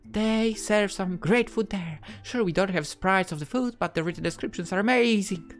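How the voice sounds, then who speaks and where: A woman voicing a friendly, eager high school girl from a visual novel, in an anime style. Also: normal speed, soft background music, lightly acted. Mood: eager, cheerful.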